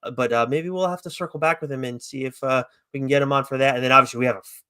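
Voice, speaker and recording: A man's voice: mid-range voice, fairly even intonation, very fast, bright, forceful tone, smooth, dry recording, clean studio sound. A man co-hosting a podcast, chatting casually about comics in a rambling, enthusiastic, loosely profane way. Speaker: podcast co-host reviewing comics. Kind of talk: casual chat review.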